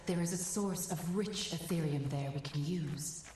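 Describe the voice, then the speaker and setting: A woman playing a calm, caring spirit mentor in a video game, speaking in a measured, formal, instructive way. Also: on the low side, a little hiss or hum, close to an everyday voice. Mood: calm guidance. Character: calm, caring female living sanctum spirit, mentor and guide. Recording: echoing voice under game music.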